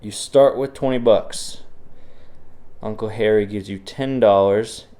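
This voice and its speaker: A man's voice: fairly low pitch, a little slow, dark tone. A man, sick and a bit sniffly, teaching in a casual, encouraging way as he walks through practice problems in a video lecture. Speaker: physics teacher.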